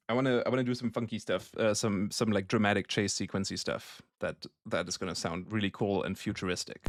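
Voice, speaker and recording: Male producer-streamer from Germany, lowish voice, casual and slangy, talking through a synth demo with music playing behind. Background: synthesizer sounds and music.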